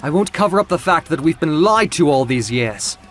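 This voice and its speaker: A man's voice: on the high side, expressive, normal speed, a bit bright, soft background music, voice pushed up. Troubled male muse for audio comic , acted by a narrator, a man: hesitant, sincere, confessional public address. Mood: resolute, earnest. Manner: hesitant, earnest. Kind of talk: audio comic book drama.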